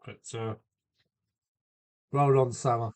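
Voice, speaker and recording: A man with a cold chatting casually on a home live stream from his loft, answering viewers and trying out halting Spanish phrases. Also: mid-range voice, fairly even intonation. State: has a cold. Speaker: model railway live streamer.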